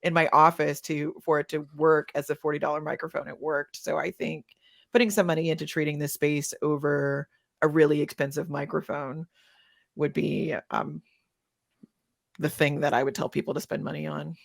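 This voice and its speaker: A woman speaks casually as a panelist. Her voice is notably low for a woman, with a lot of creaky fry in it. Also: level tone, moderate pace. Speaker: panelist, audio editor.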